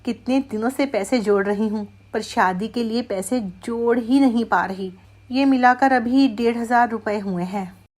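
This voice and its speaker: A woman voicing a caring, practical village wife in an animated moral story, emphatic and coaxing. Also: fairly high pitch, measured pace. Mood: worried.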